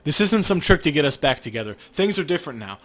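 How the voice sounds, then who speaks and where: A man's voice: a little high, big pitch swings, quick pace, a bit bright. Man acting a pleading, zealous ex-boyfriend. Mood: earnest pleading. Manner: urgent, pleading. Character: earnest, zealous man pleading with his ex-girlfriend. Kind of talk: video game dialogue read aloud.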